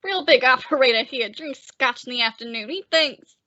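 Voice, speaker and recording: A woman's voice: high voice, lively intonation, normal speed, sharp tone, dry recording, dull audio. A woman playing a cocky, belligerent male barroom tough with a sarcastic, needling manner in an old-time radio detective drama. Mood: mocking.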